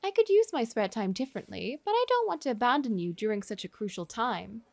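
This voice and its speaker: A woman's voice: on the high side, very animated, fairly quick, gravelly, a little mellow, no echo. A woman voicing a timid young princess from a visual novel, courteous and carefully formal. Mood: polite, eager. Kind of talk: visual novel character read aloud.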